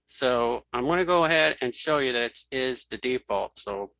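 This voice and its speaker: A man giving a casual software tutorial, thinking aloud as he demonstrates each step. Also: medium pitch, animated, normal speed, creaky, no room sound, rough recording. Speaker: instructor.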